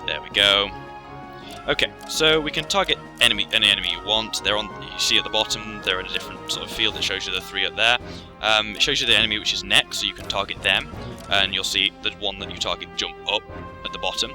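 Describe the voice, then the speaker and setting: Casting: male game commentator, low register, rambling casual tutorial style, game music underneath. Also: fast. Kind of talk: casual gameplay explanation. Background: video game music.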